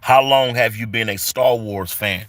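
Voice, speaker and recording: A man's voice: fairly low pitch, unhurried, a bit bright, no echo. A man in his forties on a casual live stream, reading viewers' comments aloud and greeting them in a loose, repetitive way full of fillers. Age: 40s.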